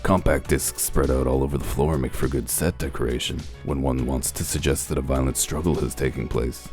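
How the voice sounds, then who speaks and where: An extremely low, gruff detective voice, acted by a man, dry and deliberate. He lays out his reasoning with smug method, like a hardboiled comic-book narrator, over background music. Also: steady tone, a little mellow. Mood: dry, confident. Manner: dry, deliberate, self-assured explaining. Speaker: detective character and first-person narrator. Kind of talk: comic dub acting, detective monologue. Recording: music under the voice.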